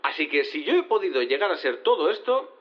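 An actor playing a rambling, halting defendant speaking in court in an audio drama. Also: male voice, a little high, sing-song. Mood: halting, earnest. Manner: halting, listing things. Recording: dull, band-limited radio-like sound.

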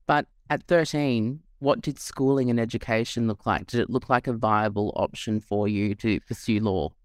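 A man's voice: lowish voice, fairly even intonation, normal speed, clear, resonant tone, no room sound, studio quality. A man hosting a podcast, earnest and enthusiastic as he introduces the show and asks questions. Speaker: podcast host.

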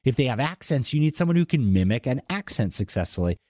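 Male podcast host, light Boston accent, mid-range pitch, enthusiastic and chatty, very dry recording. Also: sing-song, quick pace, a little mellow, no room sound. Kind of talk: casual interview chat.

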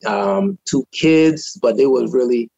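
A man from Trinidad, a guest on a talk show, relaxed in telling his own story with many fillers, speaking from his home over a video call. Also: medium pitch, slow, gravelly, dry recording, dull audio. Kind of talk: personal testimony in casual chat.

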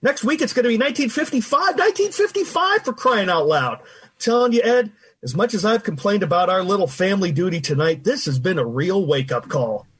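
An excitable 1950s bachelor pal in a radio play. His voice is high and extremely husky, with a sentimental, eager way about it. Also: a little fast, heavily acted. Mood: excited, wistful. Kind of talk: old-time radio drama acting.

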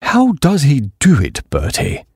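A man's voice: medium pitch, huge pitch swings, a little slow, darkish tone, husky, no echo, studio quality, heavily acted. Man playing a dim, chummy young gent. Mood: awed. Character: awed, dim, chummy young English gentleman.

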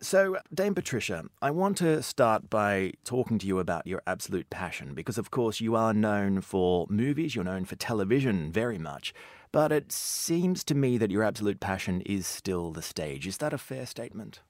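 A man's voice: lowish voice, expressive, moderate pace, no echo, clean studio sound. A male presenter reading a polished scripted introduction and then asking interview questions.

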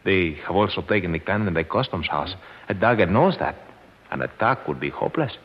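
A shrewd, calm sidekick. His voice is low and husky, in old radio drama style with long reverb around it. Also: a little fast, dull audio. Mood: calm, knowing. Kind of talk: 1950s radio drama acting.

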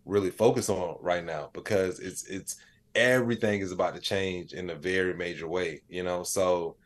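A man in his late thirties with a fairly low-pitched voice. He talks as an interview guest in a loose, colloquial way, keeping explanations plain-spoken and conversational, sometimes voicing bits of imagined dialogue. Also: moderate pace. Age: late 30s. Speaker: interview guest, AI educator and comedian. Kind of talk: casual conversational interview answers.

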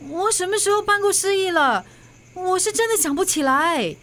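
A woman playing a feisty, stubborn, middle-aged mother in a radio drama, with a Singaporean accent and a brisk, defensive, colloquial way of talking. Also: very high voice, lively intonation, fairly bright tone. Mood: defensive, flustered. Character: feisty forgetful mother, stubborn, brushing off worry.

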